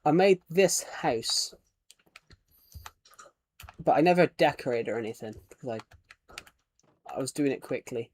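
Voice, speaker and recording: A man's voice: mid-range voice, lively intonation, measured pace, pauses often, breathy edge. A British man streaming a game, chatting casually and rambling while he reads viewer comments and names aloud. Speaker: livestreamer. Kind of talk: casual livestream chat while playing a game.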